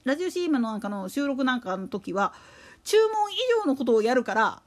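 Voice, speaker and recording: A woman's voice: high voice, very animated, a little fast. A woman giving casual solo commentary as a podcast host, rambling and opinionated, with emphatic repetition.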